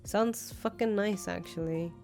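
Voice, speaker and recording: A woman's voice: medium pitch, a little slow, vocal fry, a little mellow. A woman voicing a brash, blunt, foul-mouthed revolutionary leader in a visual novel, talking casually. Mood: wry, casual. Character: brash, foul-mouthed revolutionary leader, volatile but attached to her friend. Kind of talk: visual novel dialogue reading. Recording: music behind the voice. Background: music.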